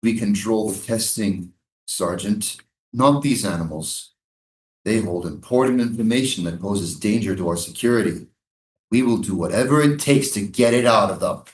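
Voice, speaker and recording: An actor in a live staged reading playing a menacing, insinuating American military commander at a prison camp. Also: male voice, on the low side, normal speed, roomy sound, natural voice.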